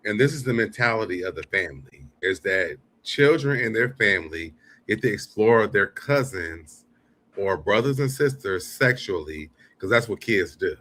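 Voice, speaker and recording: A low-pitched man hosts a live commentary show. He talks casually and bluntly, with swearing mixed freely into his remarks. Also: animated, normal speed, bright, forceful tone. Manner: blunt, profane. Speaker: show host. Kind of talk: casual live commentary over played clips.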